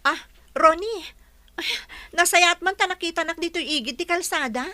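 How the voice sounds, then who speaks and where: A woman's voice: extremely high pitch, moderate pace, pushed and bright, no room sound. An actress with an Ilocano accent playing a frank, earnest young woman in a radio drama. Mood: hesitant. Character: Shirley, earnest young woman, frank and principled daughter and girlfriend. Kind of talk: Filipino radio drama acting.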